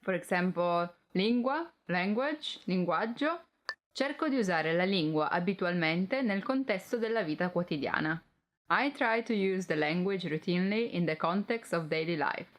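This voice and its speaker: A woman's voice: lowish voice, moderate pace. A young woman with an Italian accent, a native Italian speaker, giving a casual, chatty language lesson. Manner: relaxed, chatty, mixes Italian phrases with English explanations. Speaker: language teacher.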